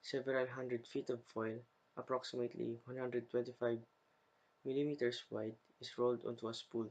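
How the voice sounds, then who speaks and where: A young adult man with a mid-pitched voice narrates a slide presentation from a script. He reads it out carefully, one phrase at a time, in the manner of a student presenting. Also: little pitch movement, normal speed, darkish tone, low-quality recording.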